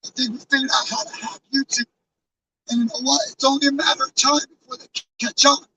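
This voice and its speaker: A hoarse man losing his voice, talking casually and earnestly to his live-stream chat, rambling with fillers and restarts. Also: very high voice, level tone, fast talker, pushed and bright, recorded from a distance, poor audio. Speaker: live streamer with a truck convoy. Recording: off-mic with faint background noise.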